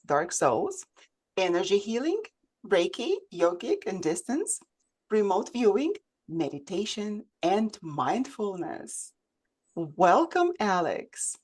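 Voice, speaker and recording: Woman, show host, conversational interviewing, mid-pitched voice, video call. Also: a little mellow, breathy edge.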